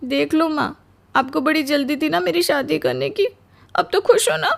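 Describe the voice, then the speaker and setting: A woman's voice: high-pitched, normal speed, vocal fry, pitched above the performer's own voice. A woman playing an exhausted, aggrieved young newlywed bride in a melodramatic cartoon story, plaintive and complaining. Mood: tearful, bitter.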